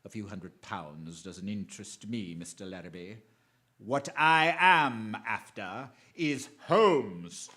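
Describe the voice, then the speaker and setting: A man in a radio drama playing a criminal mastermind who gives orders with deliberate, formal politeness and quiet menace. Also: fairly low pitch, expressive, exaggerated voice. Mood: menacing command. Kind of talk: theatrical radio drama acting.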